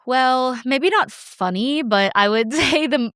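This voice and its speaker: A woman's voice: sits high, expressive, slow, fairly bright tone, dry recording. A young woman, a podcast guest, chatting casually with frequent laughs and restarts. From United States. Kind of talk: casual interview chat.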